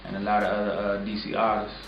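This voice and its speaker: A young man talks in a loose, laid-back way, filling his answers with casual fillers. His voice sits a little low. It comes from a mic set well back in an echoey room, with noticeable background noise. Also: monotone, quick pace, far from the mic, dull audio. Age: 20s. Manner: laid-back, filler-heavy, lots of "like" and "you know what I'm saying". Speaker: music producer, guest. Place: small recording studio.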